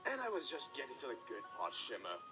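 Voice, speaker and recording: A man playing a formal father in an audio drama, stammering slightly. His voice is bright and very animated in pitch, with faint music. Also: fast talker, heavily acted, low-quality recording. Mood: hesitant, expectant. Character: formal, stammering pony father, head of household.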